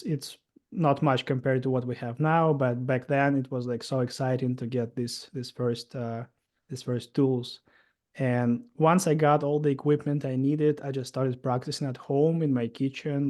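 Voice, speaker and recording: A man in his thirties from Russia with a Russian accent, telling his story as an interview guest in halting speech with many fillers and restarts. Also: mid-range voice, a little mellow.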